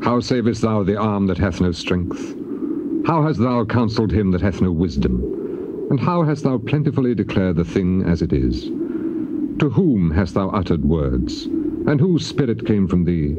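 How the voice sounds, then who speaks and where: An actor playing a suffering, defiant biblical patriarch in a grave, declamatory dramatized scripture reading, drawing out the ends of phrases. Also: male voice, fairly low pitch, very animated, moderate pace. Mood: scornful, challenging. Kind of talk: dramatized scripture reading, classical stage acting. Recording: noisy, muffled old cassette tape.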